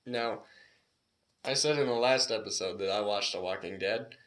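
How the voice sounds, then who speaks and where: A man's voice: fairly low pitch, moderate pace, a bit bright. A man from California co-hosting a podcast in laid-back casual chat. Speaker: podcast co-host.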